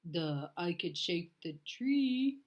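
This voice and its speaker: A woman voicing a slow, dim-witted, good-natured big bear in a storybook, with a dopey drawl. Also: on the low side, lively intonation, a little mellow. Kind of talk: animated storybook read-aloud.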